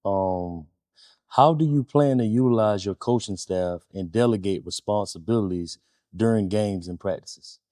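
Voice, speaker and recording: A man interviewing a coach, calm and agreeable as he asks measured questions. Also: on the low side, no echo, studio quality.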